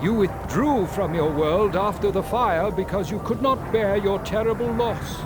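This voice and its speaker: A man voicing a cryptic feline guide in a video game, solemn, insistent and measured. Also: sits high, music in the background. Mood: solemn, encouraging. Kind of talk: video game character acting.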